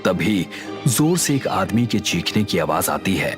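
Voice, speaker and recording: A man's voice: low-pitched, very animated, a little slow, music in the background. A man narrating a scripted horror story.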